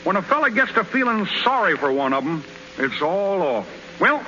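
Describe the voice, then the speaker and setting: An actor playing a bashful, stammering young ballplayer with folksy slang, in 1930s radio comedy style. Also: male voice, high voice, sing-song, normal speed. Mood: sheepish, evasive. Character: bashful young male ballplayer, alibi-making, sheepish and stammering. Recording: noisy muffled 1930s radio recording.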